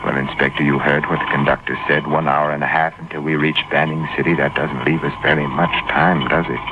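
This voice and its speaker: A man's voice: extremely low pitch, little pitch movement, fairly quick. A man acting a polite, condescending, cunning killer in an old-time radio drama, with formal, menacing speech. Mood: calm menace. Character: courteous, cunning, deranged male killer in handcuffs. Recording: muffled, very noisy old radio recording.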